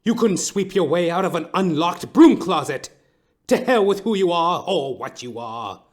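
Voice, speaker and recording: A man's voice: high voice, lively intonation, normal speed. A man playing a bitter, haughty middle-aged wealthy wife with pompous, theatrical old-fashioned diction in a noir audio comedy. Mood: furious, contemptuous.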